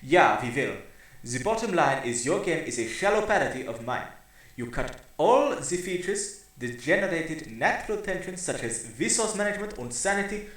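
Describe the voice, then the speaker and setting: A man's voice: fairly high pitch, a bit bright. A man voicing a pompous old male villain with a put-on German accent, haughty and scornful. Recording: echoey roomy recording.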